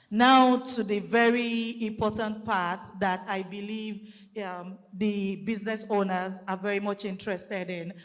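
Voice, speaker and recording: Woman, Nigerian accent, loud voice, methodical presenter, conference talk, long hall reverb. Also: mid-range voice. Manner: explanatory, methodical, with light fillers. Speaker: conference speaker. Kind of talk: public presentation.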